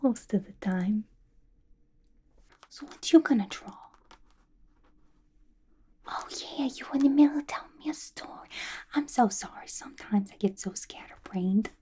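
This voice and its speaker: A woman voicing a scatterbrained, gossipy, clingy young female villain in a breathy, intimate ASMR whisper, chatty and playful with slangy grammar. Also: mid-range voice, a little slow, pauses often, dark tone. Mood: coaxing, friendly. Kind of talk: ASMR roleplay, whispered to the listener.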